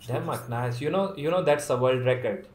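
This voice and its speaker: A man's voice: medium pitch, normal speed, slight background noise. A man from Pakistan, hosting casual flirty video chats with strangers and teasing them with cheesy pickup lines. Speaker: YouTuber host chatting with strangers on video chat. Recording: echoey room with loud background music.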